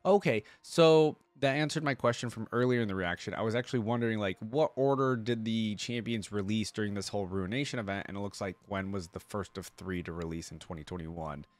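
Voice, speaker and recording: A man hosting a casual reaction video, rambling and thinking out loud with enthusiasm. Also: fairly low pitch, brisk, hardly any pauses. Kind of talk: casual reaction commentary, reading aloud.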